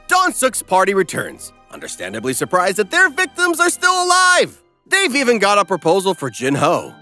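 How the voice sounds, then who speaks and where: A man's voice: very high voice, pushed and bright. A man giving a comedic scripted recap as narrator, snarky, irreverent and exuberant.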